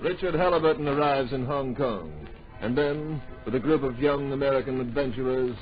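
An actor as the earnest, dramatic narrator of a radio drama, delivering scripted adventure storytelling in a grand, deliberate manner. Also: male voice, medium pitch, music in the background, natural voice. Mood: measured, suspenseful. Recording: dull old radio recording.

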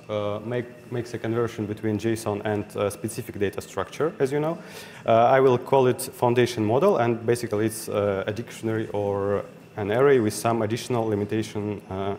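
A man's voice: lowish voice, darkish tone. Give me a hesitant young man with a Russian accent presenting at a meetup, with faint room noise. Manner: hesitant, many uh fillers and restarts. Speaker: conference speaker. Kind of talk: technical meetup talk. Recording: hall reverb, faint ambient noise.

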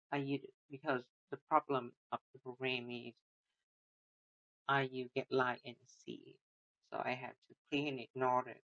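Halting man walking through code. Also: mid-range voice, fairly bright tone. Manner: halting, many restarts and fillers, non-native phrasing. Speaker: student presenting a coding project. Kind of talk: screen-recorded code walkthrough. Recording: dull lo-fi sound.

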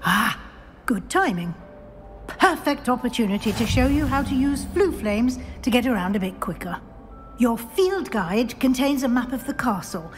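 An actress playing a brisk, stern professor. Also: female voice, on the high side, lively intonation, lightly acted. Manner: crisp, brisk and no-nonsense. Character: brisk older female professor, stern and proper. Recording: game cutscene with music behind.

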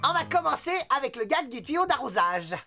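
A woman's voice: high-pitched, very animated, brisk, sharp tone, faint music behind, exaggerated voice. A woman voicing a cocky, mischievous young male cat in a cartoon, brash and showing off. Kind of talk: French cartoon dubbing.

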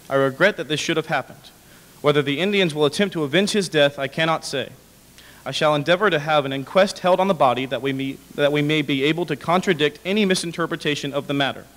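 A man's voice: on the high side, fast, fairly bright tone. A man in his twenties giving a formal read-aloud of historical documents at a podium microphone in a hall. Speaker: dramatic reader. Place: large indoor hall with brick walls.

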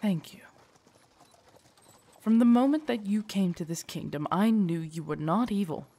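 A woman playing a loyal, protective female knight in an audio drama, formal, deferential and earnest, with a husky voice. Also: medium pitch, animated, measured pace, warm tone. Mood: earnest, comforting. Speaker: voice actor in audio roleplay. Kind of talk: acted immersive audio drama monologue.

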